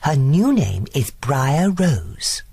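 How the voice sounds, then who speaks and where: A woman's voice: deep voice, big pitch swings, very slow, mellow, heavily acted. A woman playing a kindly good fairy. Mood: gentle. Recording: music playing behind.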